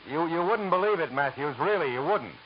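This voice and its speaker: An actor playing a dry, wisecracking private detective who also narrates, in a 1940s radio drama. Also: male voice, on the high side, animated, brisk, highs cut off, close to an everyday voice. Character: hard-boiled male private eye, dry and wisecracking. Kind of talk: 1940s radio acting with first-person narration. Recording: hissy old radio broadcast recording.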